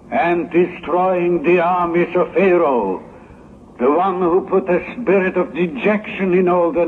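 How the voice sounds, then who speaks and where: Bold doom-preaching prophet male actor, solemn, slow, declamatory; narrow, noisy vintage audio drama sound. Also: on the high side. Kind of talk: 1960s religious audio drama acting. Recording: muffled, phone-like, noisy 1960s recording.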